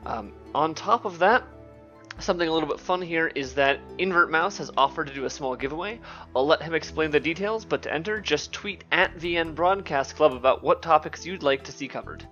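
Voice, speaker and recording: Male podcast host, bright forward voice, casual delivery, slightly muffled lo-fi audio. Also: a little high, fairly quick, soft background music.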